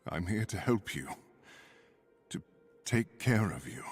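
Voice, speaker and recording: An actor playing a brooding, protective thunder god in a video game drama, grave and earnest, reluctant to fight. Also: male voice, lively intonation, slow pace, soft background music, full character voice. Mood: grave, gentle. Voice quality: deep, husky.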